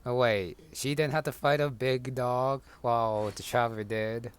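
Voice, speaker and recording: A man's voice: mid-range voice, fairly even intonation, moderate pace, a little hiss or hum. A man giving offhand, casual commentary while playing a video game. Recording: loud game music and combat effects.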